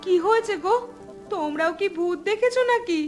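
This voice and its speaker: A woman's voice: very high-pitched, animated, normal speed, soft background music. A woman playing an anxious, pleading village wife in a cartoon ghost story, plaintive and dramatic. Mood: fearful.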